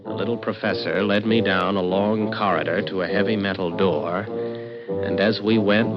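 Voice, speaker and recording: A man's voice: fairly low pitch, lightly acted. Man acting brash radio investigator, muffled, music underneath. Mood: intrigued. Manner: hard-boiled, wisecracking, insistent. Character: brash, wry, confident male investigator narrating his case. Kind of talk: 1940s radio drama narration and acting.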